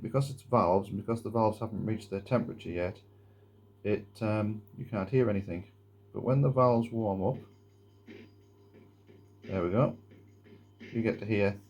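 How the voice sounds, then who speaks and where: A man giving a casual, step-by-step hands-on demonstration in a halting, explanatory way. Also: lowish voice, mellow. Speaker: presenter of a gadget demonstration video. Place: indoors at a wooden table.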